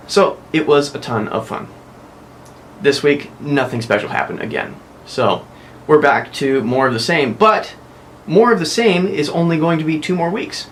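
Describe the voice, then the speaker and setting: Young man, from the US, casual vlog host, rambling chat, mid-pitched voice, faint room noise. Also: a bit bright. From United States. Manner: chatty and rambling, frequent self-corrections. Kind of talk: casual weekly vlog update.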